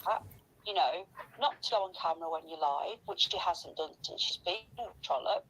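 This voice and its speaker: Gravelly-voiced woman in gossipy chat. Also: fairly low pitch, normal speed, a bit bright, low-quality recording. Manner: rambling, sweary, complaining.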